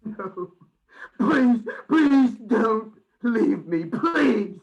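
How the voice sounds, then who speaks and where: A man's voice: high-pitched, animated, very slow, creaky, highs cut off, exaggerated voice. An actor in a radio play voicing a frantic madman, an asylum patient who pleads agitatedly with his master. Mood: terrified pleading.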